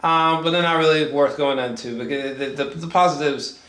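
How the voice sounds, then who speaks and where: A man chatting casually through a movie review, rambling and halting, with frequent swearing. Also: fairly high pitch, fairly even intonation, fairly bright tone. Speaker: film review host. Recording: roomy echo, distant from mic.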